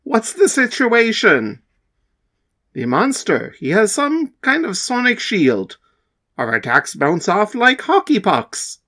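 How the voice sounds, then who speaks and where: Eager young hero sidekick, man putting on a higher voice, urgent report. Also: huge pitch swings, takes it slowly, sharp tone, exaggerated voice. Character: eager male superhero sidekick Loon.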